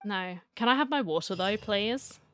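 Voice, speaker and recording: A woman's voice: medium pitch, animated, moderate pace, fairly bright tone. A woman hosting a gaming video, reacting casually and musing aloud in an informal way. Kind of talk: casual game reaction commentary. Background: game music.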